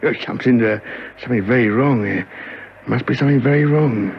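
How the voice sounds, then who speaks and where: An actor playing a fussy, polite old railway enthusiast in a radio drama, hesitant, muttering and pedantic. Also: male voice, mid-range voice, brisk, gravelly, natural voice. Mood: muttering, puzzled. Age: older. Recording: muffled, noisy old radio recording.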